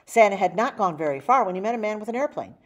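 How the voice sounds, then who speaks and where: Expressive woman reading a storybook, lively pitch. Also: quick pace. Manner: animated, acting out dialogue. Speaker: storybook reader. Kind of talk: expressive children's picture-book read-aloud.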